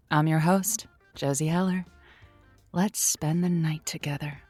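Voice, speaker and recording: A lowish, intimate woman's voice plays a theatrical late-night radio host. She cajoles and encourages listeners with playful, sultry patter. The sound is very dry, with music underneath in this radio drama. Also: no echo. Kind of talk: radio drama, on-air monologue and chat.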